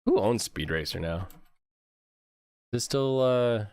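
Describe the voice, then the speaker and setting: A man hosting an anime review stream, chatting casually and rambling, with a jokey, animated manner. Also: mid-range voice, slow, no room sound.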